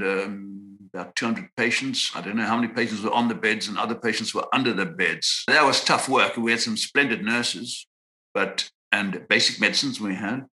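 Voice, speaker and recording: A man in his sixties from Zimbabwe, a psychiatrist answering interview questions in a measured, reflective way with frequent pauses and restarts. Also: fairly low pitch, pushed and bright, low-quality recording. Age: 60s. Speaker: guest psychiatrist.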